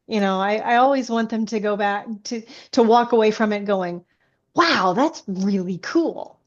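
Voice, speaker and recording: A woman's voice: mid-range voice, measured pace, lo-fi sound. A woman author answering questions casually as a guest on a podcast.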